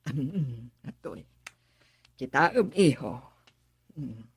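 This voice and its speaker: A woman's voice: extremely low pitch, lively intonation, extremely slow, husky, exaggerated voice. A woman playing a scheming, doting middle-aged mother in a radio drama. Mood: smug. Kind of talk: Filipino radio drama acting.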